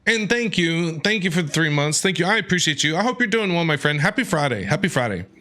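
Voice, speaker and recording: A woman streamer reacting live to a game, excitable and talking along to the characters. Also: on the low side, fast talker.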